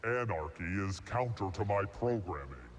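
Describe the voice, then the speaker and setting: Deep, menacing robotic battle droid. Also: male voice, lively intonation, normal speed. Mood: deadpan. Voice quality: mechanical. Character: blunt, menacing, literal heavy battle droid. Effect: robotic voice processing. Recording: loud noisy game ambience, clicks.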